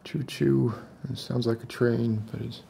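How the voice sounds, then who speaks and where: A man in his forties playing an unstable post-apocalyptic survivor in an ASMR roleplay, coaxing softly in halting, rambling phrases. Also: mid-range voice, steady tone, vocal fry, slight background noise.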